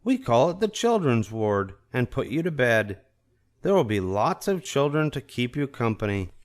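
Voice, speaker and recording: A man voicing a kind, reassuring young female nurse in a children's storybook, with a gentle, coaxing manner. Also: medium pitch, sing-song, moderate pace, voice pushed up, close to an everyday voice. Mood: welcoming. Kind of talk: children's storybook read-aloud.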